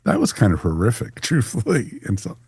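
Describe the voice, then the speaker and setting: Deep-voiced man, mellow podcast host. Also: big pitch swings, fairly quick, creaky, slightly rough, dry recording, studio quality. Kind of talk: casual panel review chat.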